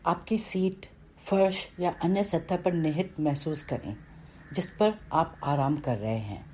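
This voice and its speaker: A woman reading a scripted guided meditation in a calm, instructional way, with pauses between phrases. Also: deep voice, slight background noise.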